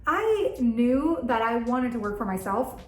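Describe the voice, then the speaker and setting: High-voiced woman vlogging in an echoey room. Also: big pitch swings, unhurried. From Argentina. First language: Spanish. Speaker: content creator and video host. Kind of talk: casual chatty vlog. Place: bathroom at home, indoors.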